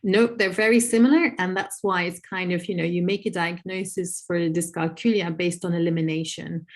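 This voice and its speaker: A woman whose first tongue is Dutch talks through a video call, her voice sitting a little low. She explains patiently, listing examples and stopping to gather her thoughts with soft fillers. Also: steady tone. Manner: thoughtful, many "um" pauses, lists examples. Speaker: researcher answering audience questions. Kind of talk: webinar Q&A, explaining.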